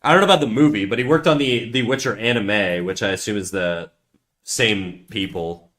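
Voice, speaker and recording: A man's voice: fairly low pitch, moderate pace, fairly bright tone. A man chatting casually on a livestream while he draws. He rambles, swears freely, mocks himself and often restarts his sentences. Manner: rambling, profane, self-mocking, with frequent restarts. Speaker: livestreamer and artist.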